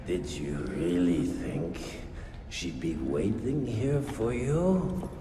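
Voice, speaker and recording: A man playing a taunting, theatrical male vampire villain in a video game, with loud music over him. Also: deep voice, background noise. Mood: mocking, menacing. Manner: mocking, drawn-out, theatrical delivery.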